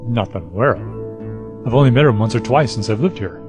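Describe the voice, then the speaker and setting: A man's voice: lowish voice, expressive, fairly quick, natural voice. A man playing an earnest, respectful former companion of an adventurer in an audio drama. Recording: music bed under the dialogue.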